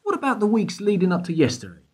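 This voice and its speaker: A man's voice: high-pitched, huge pitch swings, fast talker, darkish tone, husky, heavily acted. A man playing an investigator who keeps pressing a witness with question after question in a radio play. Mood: probing. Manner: persistent, probing questioning. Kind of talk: amateur radio play acting.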